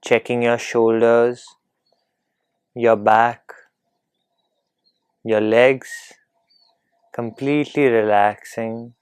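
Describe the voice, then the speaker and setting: Very slow, calm male meditation guide. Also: mid-range voice, clean tone, no echo. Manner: calm, gentle, unhurried instruction with long pauses.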